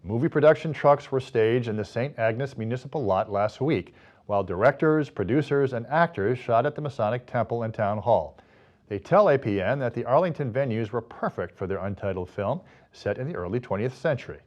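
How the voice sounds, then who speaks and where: A man's voice: mid-range voice, expressive, brisk, darkish tone, highs cut off. A man reading scripted local news as an anchor.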